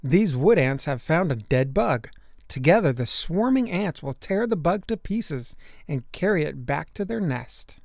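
A man narrating a children's nature book aloud, with deliberate, emphatic storytelling for young listeners. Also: on the high side, big pitch swings, creaky, darkish tone, no room sound, studio quality.

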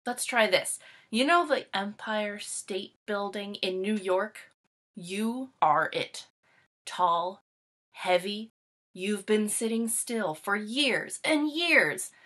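A woman playing an excitable, bossy young boy full of schemes, reading a picture book with emphatic, dramatic delivery. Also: medium pitch, takes it slowly, exaggerated voice. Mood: coaxing, bossy.

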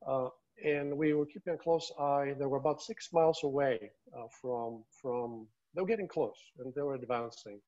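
A man in his fifties giving a factual update as a superintendent, in a halting, hesitant way over a video call. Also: medium pitch, a little mellow. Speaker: observatory superintendent. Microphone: earphone mic on a video call. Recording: slightly dull video-call audio.

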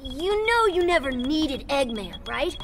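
A woman's voice: high-pitched, sing-song, unhurried, a bit bright, a little hiss or hum, full character voice. A woman voicing an eager, plucky little boy fox sidekick in a video game, earnest and perky. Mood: confident. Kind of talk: animated video game cutscene acting.

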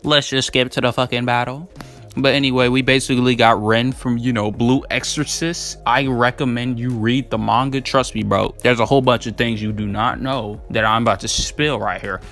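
A man's voice: medium pitch, steady tone, normal speed, a bit bright, soft background music. A young man giving casual, unscripted commentary as a video creator, rambling in a slangy, profane, hyped and emphatic way. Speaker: video creator debating anime battle matchups.

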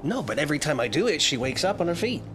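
Animated male player with background noise. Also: fairly high pitch, rapid-fire. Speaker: tabletop game player. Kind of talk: casual in-character banter.

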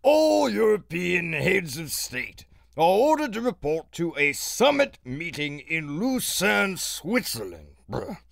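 An actor playing a pompous, blustering aristocratic lord who makes halting speeches in a theatrical audio adventure serial. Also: male voice, sits high, big pitch swings, gravelly, a bit bright, no echo, studio quality, heavily acted. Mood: pompous, formal.

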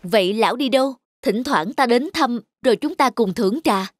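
A woman's voice: a little high, expressive, moderate pace, slight background noise, close to an everyday voice. Woman voicing a rich, proud old-time landlord. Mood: eager proud. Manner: commanding, eager, addresses servants curtly. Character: wealthy proud male landlord tea lover. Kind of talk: dubbed cartoon folktale acting.

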